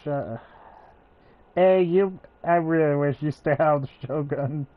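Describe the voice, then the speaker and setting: A man streaming a game, talking in unhurried, mumbled asides. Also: a little high, no echo. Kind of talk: casual gameplay commentary. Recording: tinny phone-like audio.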